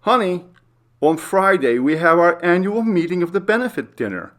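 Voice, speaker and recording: A man's voice: high voice, big pitch swings, moderate pace, fairly bright tone, voice pushed up. A man voicing an affectionate, flirtatious wife in a storybook reading.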